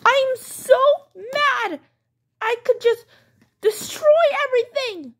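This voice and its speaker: A woman plays a defiant young daughter in a homemade pretend-play skit. Her voice is extremely high and swings up and down a lot. She whines and stretches out her petulant protests slowly. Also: expressive, heavily acted. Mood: angry tantrum. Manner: whining, petulant, drawn-out protests. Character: whiny, defiant young daughter refusing chores.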